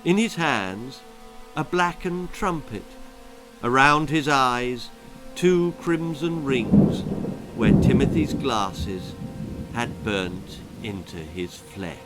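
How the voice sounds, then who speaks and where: A man's voice: mid-range voice, expressive, slow. A British man narrating a children's storybook in a dramatic, theatrical and drily comic manner. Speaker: author and storyteller. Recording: music behind the voice.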